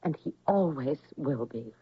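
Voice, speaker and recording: An actress playing a calm, firm wife in a radio drama, measured and husky. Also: female voice, rumbling low, no echo, heavily acted. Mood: soothing, firm. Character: calm, firm, devoted wife soothing her husband. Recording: muffled old radio broadcast.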